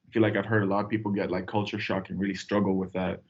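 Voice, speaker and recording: A man's voice: low voice, level tone, rapid-fire, slightly rough. Young man telling stories, lo-fi sound. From Tanzania. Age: young adult. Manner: rambling, storytelling with fillers. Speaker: podcast guest. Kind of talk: casual podcast chat.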